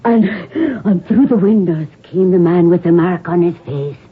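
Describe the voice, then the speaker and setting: An actress playing a grave, haunted Irish maidservant, telling her tale dramatically in a husky voice in a 1970s radio drama. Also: on the low side, a little hiss or hum, natural voice. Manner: slow, dramatic storytelling. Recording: narrow, phone-like radio recording.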